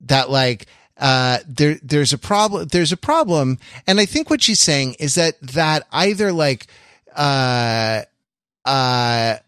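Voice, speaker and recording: Halting, wry man in dry close sound. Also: mid-range voice, sing-song, a bit bright, clean tone, no room sound. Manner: wordy, halting, circling back mid-sentence. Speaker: podcast host. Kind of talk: casual analytical podcast chat.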